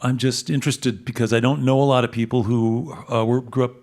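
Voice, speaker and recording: A man's voice: medium pitch, level tone, fairly quick, darkish tone. A man hosting a radio interview on stage, asking friendly, rambling questions. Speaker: radio show host. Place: stage in a concert hall.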